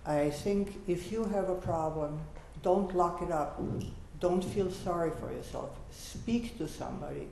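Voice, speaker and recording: A woman in her eighties, a Holocaust survivor on a panel, giving personal testimony in an earnest, measured way that turns gently urging. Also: lowish voice, gravelly, slight background noise. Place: university lecture hall. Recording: echoey lecture hall.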